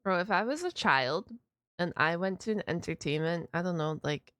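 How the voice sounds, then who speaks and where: A woman's voice: on the low side, expressive, moderate pace, a bit bright, clean tone, dry recording, clean studio sound. A woman giving casual reaction commentary as a host, thinking aloud and hesitating, with many uses of "like".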